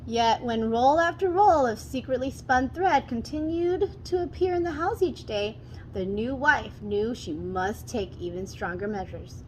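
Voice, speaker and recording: Female storyteller for expressive children's read-aloud, slightly high register, faint noise behind the voice. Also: unhurried, low-quality recording.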